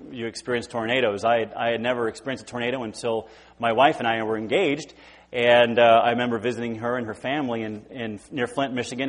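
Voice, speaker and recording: A man in his forties from California, preaching a sermon in a casual, halting way with many repeated words and fillers. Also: medium pitch, in a large hall. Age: 40s. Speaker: guest preacher. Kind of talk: informal sermon. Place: church sanctuary.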